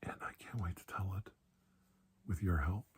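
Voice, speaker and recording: A man speaking in a soft, breathy ASMR roleplay as a calm, kindly doctor, gentle and reassuring with unhurried pauses. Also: low voice, a little hiss or hum. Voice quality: breathy, hushed. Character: calm, kindly male doctor soothing a frightened patient. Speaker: ASMR performer playing a doctor.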